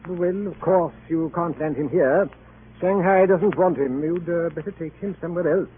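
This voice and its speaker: An actor playing a pompous, blasé British consul in 1940s radio drama, with an affected, condescending drawl and a British accent. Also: male voice, a little high, normal speed, natural voice. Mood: airy, condescending. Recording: old narrowband radio recording with clear noise.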